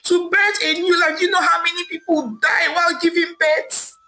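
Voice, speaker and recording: A woman's voice: extremely high pitch, level tone, moderate pace, pushed and bright, voice pushed up. A woman voicing a heartbroken young wife who wails and pleads tearfully at her husband. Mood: crying.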